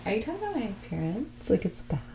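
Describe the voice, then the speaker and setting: A woman playing a mean, condescending rich young woman on a first date in a whispered, soft-spoken ASMR roleplay, with a bored drawl. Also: mid-range voice, huge pitch swings, fast, vocal fry, a little hiss or hum. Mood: haughty challenge. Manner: condescending, drawling, bored, valley-girl filler "like".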